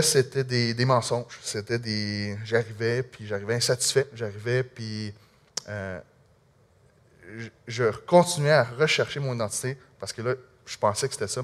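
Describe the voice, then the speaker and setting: Québécois guy giving his testimony, earnest, kinda halting, lots of restarts. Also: medium pitch. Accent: Québécois French. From Quebec. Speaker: speaker sharing a personal testimony. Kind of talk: personal testimony to an audience.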